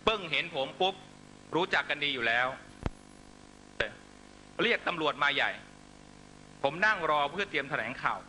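Guy speechifying at a rally, really sharp piercing voice, noisy pa with hum, short punchy phrases. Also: a little high, lively intonation. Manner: emphatic, declamatory delivery in short measured phrases. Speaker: political rally speaker. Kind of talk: impassioned political rally speech. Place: large night-time outdoor rally stage. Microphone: stand microphone on stage. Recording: noisy live rally public-address broadcast with hum.

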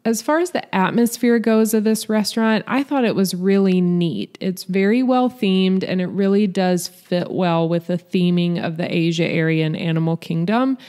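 A woman's voice: medium pitch, normal speed, hardly any pauses, dark tone. A woman giving a scripted, matter-of-fact voice-over review of a restaurant meal. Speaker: vlog host, restaurant reviewer.

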